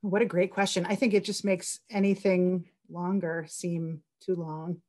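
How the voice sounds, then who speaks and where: A woman with a moderately low voice talks casually on a panel. She is enthusiastic and effusive, giving her answers as a writer among others. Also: little pitch movement, unhurried, darkish tone. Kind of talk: casual Q&A talk.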